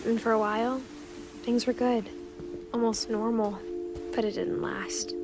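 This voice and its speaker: A young woman playing a reflective girl who narrates her family's sad history in a story game, quiet and measured. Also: fairly high pitch, little pitch movement, music in the background, natural voice. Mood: reflective, subdued. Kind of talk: scripted first-person game narration. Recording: clear background noise with clicks.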